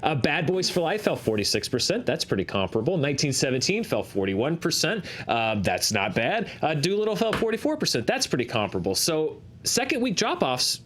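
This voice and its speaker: A man hosting a talk show, explaining figures in a measured, conversational way. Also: on the high side, very animated, a bit bright, a little hiss or hum. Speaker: host of a box office chart show. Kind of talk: conversational analysis of box office figures.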